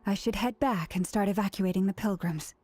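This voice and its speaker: A woman's voice: mid-range voice, a little fast, warm tone, faint music behind, natural voice. A woman playing a poised, dutiful guardian in a video game, speaking with composed, earnest delivery. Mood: thoughtful.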